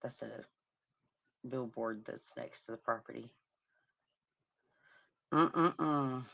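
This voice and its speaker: Hoarse woman host, heavy vocal fry, murmuring commentary, lo-fi stream sound. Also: rumbling low, takes it slowly, a bit bright. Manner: murmuring, sympathetic, hums to herself. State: hoarse, losing her voice. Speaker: livestream host. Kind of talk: casual livestream commentary on a crime case.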